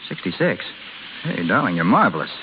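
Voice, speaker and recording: Quick-talking, hot-tempered young American husband. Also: male voice, deep voice, very animated. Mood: wry, irritated. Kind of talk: 1930s radio acting. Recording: hissy, phone-like old radio recording.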